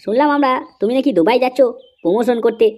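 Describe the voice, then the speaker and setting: A woman's voice: a little high, lively intonation, fast talker, darkish tone. A woman voicing an inquisitive, polite young customer in a comedy cartoon. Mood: curious.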